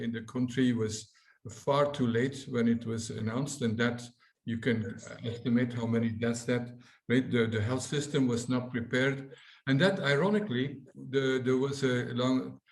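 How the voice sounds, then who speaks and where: A man for whom English is not his first language, answering on a webinar panel in a thoughtful way over a video call. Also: mid-range voice. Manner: thoughtful, many fillers and restarts.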